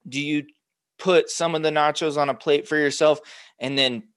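A man's voice: fairly high pitch, fairly even intonation, moderate pace, a bit bright, no room sound, studio quality. A man from Los Angeles hosting a casual interview, asking rambling, restarting questions with enthusiasm. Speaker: host.

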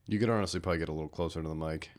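A young man with a very deep voice hosts a casual podcast. He talks loosely, swears often and jokes around with his guest. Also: racing, a little mellow. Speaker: podcast host.

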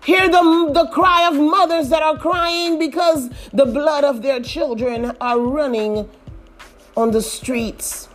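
A woman leading a fervent, pleading prayer, repeating her appeals and rising to shouts. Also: sits high, fairly even intonation, slow pace, soft background music. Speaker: prayer leader.

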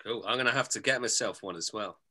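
A man's voice: lowish voice, fast, pushed and bright. A man hosting a podcast, thoughtful and hedging as he steers the conversation. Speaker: podcast host. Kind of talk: casual podcast chat.

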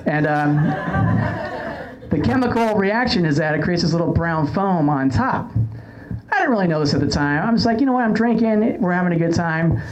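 A woman's voice: lowish voice, expressive, normal speed, darkish tone. A woman hosting a casual comedy podcast, telling anecdotes in a wry, sarcastic way. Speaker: podcast host. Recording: clearly noisy background.

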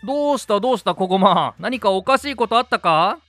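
A man playing an excitable, easily startled young father in an amateur radio drama, talking casually and animatedly. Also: sits high, fairly quick, dry recording, faint music behind. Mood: casual, puzzled.

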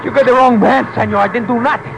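Shrill, panicky young male fugitive. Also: steady tone, fast talker, noisy background. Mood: defiant. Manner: agitated, protesting, broken English. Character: defiant, panicky young Mexican fugitive with a knife. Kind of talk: 1950s radio drama acting. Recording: old radio recording with music.

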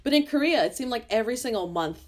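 A woman hosting a podcast who asks questions in a casual, lively way. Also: fairly high pitch, fast. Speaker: podcast host. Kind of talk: casual interview chat.

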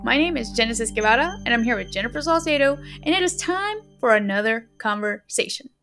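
A woman's voice: on the high side, animated, fairly quick, fairly bright tone, faint music behind. A woman in her twenties co-hosting a casual podcast, chatty with frequent laughs and fillers. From California. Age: 20s. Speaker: podcast co-host and interviewee. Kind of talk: casual conversational interview.

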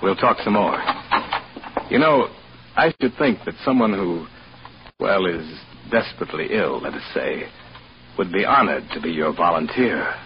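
Deep-voiced scheming hotel manager. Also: male voice, lively intonation, moderate pace, long pauses, a little hiss or hum. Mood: smooth, courteous. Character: smooth, scheming hotel manager, a quietly murderous narrator. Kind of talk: old-time radio drama acting and first-person narration. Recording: muffled old radio recording, loud music behind.

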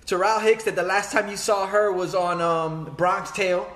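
A man's voice: high-pitched, level tone, moderate pace, a bit bright, roomy sound. A man chatting casually about hip hop films and music as a podcast host, laid-back and full of fillers.

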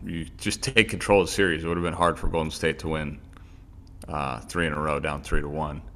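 A man's voice: low-pitched, pushed and bright, slight background noise. A man co-hosting a casual sports podcast, opinionated and rambling with restarts. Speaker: podcast co-host.

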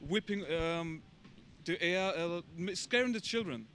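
Halting Austrian man with German accent. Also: high-pitched, sharp tone. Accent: German-speaking Austrian. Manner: halting, searching for English words. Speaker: interviewee on the street. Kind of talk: casual street interview. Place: outdoors on a city street.

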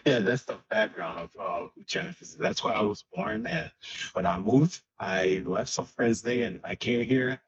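A man from Haiti in his twenties, a guest musician speaking haltingly and searching for words in a casual interview. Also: fairly low pitch, breathy edge. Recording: dull lo-fi sound.

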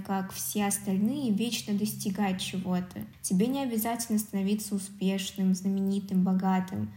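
A woman with a soft, dark-toned voice speaks as a podcast co-host. Her delivery is measured and articulate as she reflects on film, and the room echoes clearly around her. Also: mid-range voice. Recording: roomy, echoing sound.

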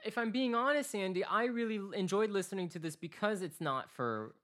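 A man's voice: high-pitched, lively intonation, a little fast, a bit bright. Earnest rambling young man. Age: 20s. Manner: earnest, rambling with many restarts and fillers. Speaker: podcast co-host. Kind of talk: casual chat.

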